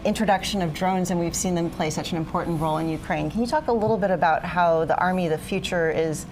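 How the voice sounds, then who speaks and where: A woman with a fairly low voice works as a news correspondent on a live broadcast. She puts detailed, informed questions to a guest. Steady background noise from the outdoor event sits behind her. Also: fast, poor audio.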